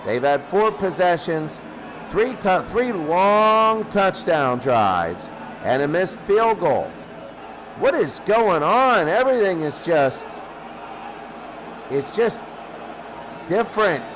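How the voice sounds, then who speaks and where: Male football score host, high voice, unhurried clipped fragments, noisy recording. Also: big pitch swings, a bit bright. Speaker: sports studio host. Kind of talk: off-the-cuff college football score commentary. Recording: clearly noisy background.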